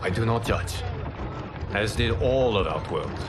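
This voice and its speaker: An actor playing a calm, wise lord and mentor in a video game, speaking in a formal, measured way. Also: male voice, fairly low pitch, background noise. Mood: composed, solemn. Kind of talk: video game cutscene acting.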